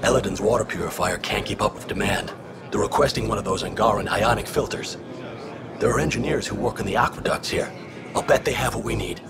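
A man playing a businesslike, impatient supply pilot in a science fiction game, with a gravelly, terse delivery. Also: lowish voice, fairly quick, loud music behind the voice. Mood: matter-of-fact. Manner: terse, matter-of-fact, insistent. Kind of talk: video game dialogue acting.

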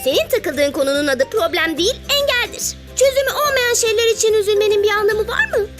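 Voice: very high-pitched, expressive, fast talker, over loud music. A performer voicing a cheerful, wise little cartoon ladybug who teaches patiently with coaxing questions. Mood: gently instructive. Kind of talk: children's cartoon dialogue.

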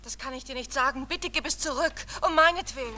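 An actress playing a detective's wife in a vintage radio crime drama. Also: female voice, high-pitched, fast, bright, forceful tone. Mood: urgent pleading. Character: detective's wife, cool and sarcastic, later pleading.

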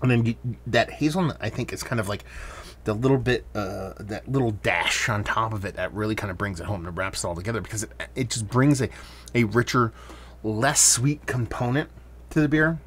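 A man hosting a casual beer review, talking in a relaxed, rambling way with plenty of fillers. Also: on the low side, a little fast.

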